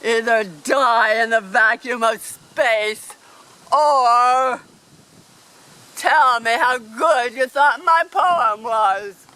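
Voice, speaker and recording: A man's voice: extremely high pitch, very slow, sharp tone, smooth, exaggerated voice, rough recording. A man acting a menacing, pompous alien captain who declaims theatrically in a radio comedy play. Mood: gloating menace.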